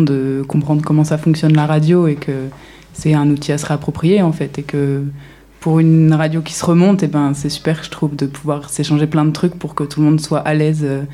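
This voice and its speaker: A woman's voice: deep voice, warm tone. A woman explaining things in an informal, rambling way during a casual group discussion.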